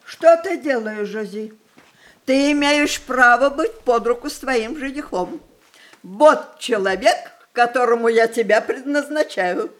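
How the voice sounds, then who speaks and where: A high-pitched old grandmother rules over her family in a stage-style radio play. She is willful and mischievous, handing out orders with capricious, theatrical relish. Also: animated, unhurried. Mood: bossy, affectionate. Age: older.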